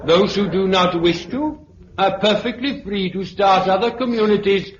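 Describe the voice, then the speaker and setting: An actor playing an elderly British sociology professor, pompous and pedantic, lecturing a meeting in a 1950s radio drama. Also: male voice, sits high, lightly acted. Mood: dryly pedantic. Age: older. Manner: pedantic, deliberate, oratorical. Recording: muffled, noisy, echoey 1950s radio recording.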